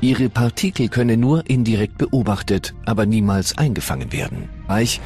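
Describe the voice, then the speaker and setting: A man giving scripted documentary narration in a measured, solemn way. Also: lowish voice, animated, dark tone. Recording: music bed under the voice.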